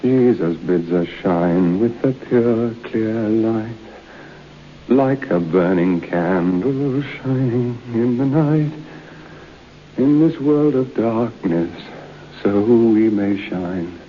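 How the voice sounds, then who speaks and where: An actor playing a proud, unnerved man trapped alone in a dark room, muttering to himself with forced bravado in an old-time radio drama. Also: fairly low pitch, lively intonation, slow pace. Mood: wistful, muttering. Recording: dull, noisy old radio broadcast.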